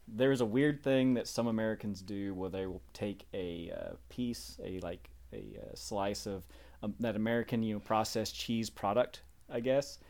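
A woman's voice: very deep voice, steady tone, moderate pace, a little mellow. A woman chatting casually as a panelist, jokey and given to rambling asides. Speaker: panelist on a group question-and-answer chat. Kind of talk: casual chat.

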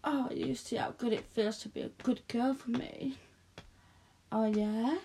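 A woman speaking softly and coaxingly in an intimate audio roleplay, close to the mic, often dropping to a whisper. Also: a little high, fairly even intonation, slight background noise. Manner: soft, coaxing, slow and breathy with frequent whispers.

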